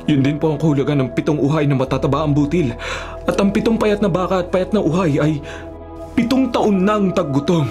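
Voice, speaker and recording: An actor playing a humble, devout Hebrew dream interpreter in a respectful, earnest radio drama style. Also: male voice, on the high side, a little fast, natural voice. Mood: solemn, earnest. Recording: radio drama over clear background music.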